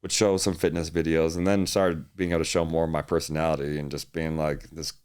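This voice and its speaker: A man's voice: a bass voice, flat delivery, brisk, darkish tone, clean tone. A young man from Hawaii, a fitness coach, chatting easily as a podcast guest and laughing often. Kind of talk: casual interview chat.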